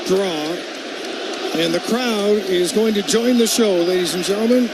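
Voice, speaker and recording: A man's voice: sits high, measured pace. A man delivers live hockey radio commentary. Heavy arena noise runs behind him as he follows the play. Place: arena broadcast position. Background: hockey arena crowd.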